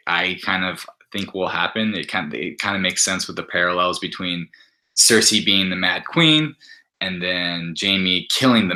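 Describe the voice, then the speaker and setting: A man speaking as a podcast guest in casual, halting chat peppered with "like". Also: deep voice, sharp tone. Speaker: podcast guest, app maker.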